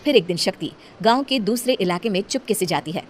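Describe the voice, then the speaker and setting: Mid-pitched woman, storyteller, scripted narration, music behind. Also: expressive, a little fast.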